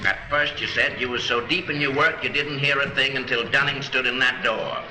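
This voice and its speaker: An actor playing a shrewd, blunt small-town lawman in a 1930s film, deliberate and dryly sarcastic as he probes and accuses. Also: mid-range voice, steady tone, natural voice. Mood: probing, sardonic. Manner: deliberate, probing, with dry sarcasm. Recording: roomy 1930s film soundtrack, music underneath.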